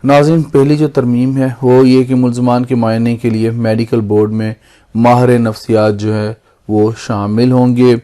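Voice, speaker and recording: A Pakistani man presents like a lawyer walking viewers through a lesson. His voice is mid-pitched and quite mellow, with very little melodic movement. He keeps a formal manner and often rephrases what he has just said. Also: flat delivery, moderate pace. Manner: formal, repeats and rephrases points. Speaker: lawyer presenting legal explainer videos. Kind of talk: explanatory lesson, semi-scripted.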